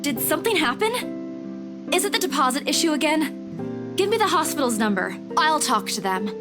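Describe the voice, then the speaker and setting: Earnest young woman, high-pitched. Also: sing-song, moderate pace, over music. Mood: reassuring, dutiful. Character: anxious, dutiful young working woman, eldest daughter. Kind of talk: visual novel voice acting.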